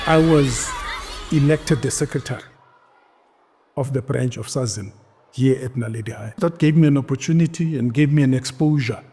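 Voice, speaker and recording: A South African man in his sixties recounting his own history in an interview, firm and deliberate. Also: mid-range voice, dark tone, some chatter behind, a little hiss or hum. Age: 60s.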